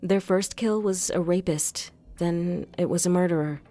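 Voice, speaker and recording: A woman playing a determined, methodical vigilante investigator in an audio crime drama, explaining things in a measured way. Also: lowish voice, warm tone, faint music behind, close to an everyday voice. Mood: measured, serious.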